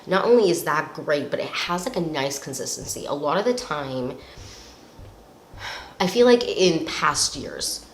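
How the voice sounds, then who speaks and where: A woman's voice: low voice, lively intonation, unhurried. A young woman with a slightly nasal voice from a cold, chatting casually and rambling with lots of fillers while reviewing products. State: recovering from a cold. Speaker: beauty vlogger. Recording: echoey room with faint noise.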